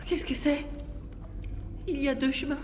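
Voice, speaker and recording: Une femme en petit compagnon inquiet, voix aiguë. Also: female voice, high voice, fairly even intonation, moderate pace, background noise, close to an everyday voice. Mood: anxious, curious. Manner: worried, questioning. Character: small, anxious, curious cartoon sidekick. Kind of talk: animated film dub acting.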